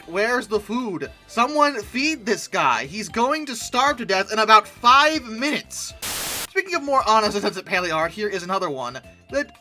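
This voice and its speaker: Bright, very animated sarcastic commentator. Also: medium pitch. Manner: sarcastic, exasperated, mock-incredulous. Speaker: video host. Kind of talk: comic commentary on dinosaur art.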